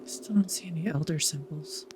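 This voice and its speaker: A woman's voice: low voice, normal speed, heavy background noise. A woman hosting a gaming video with casual, informal asides to viewers. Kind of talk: casual let's-play commentary. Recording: music behind the voice.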